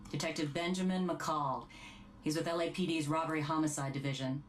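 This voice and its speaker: A woman's voice: low-pitched, fairly even intonation, a little fast, a little hiss or hum, lightly acted. A woman playing an authoritative, formal American task force chief in a video game briefing. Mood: brisk, formal. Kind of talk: video game cutscene acting.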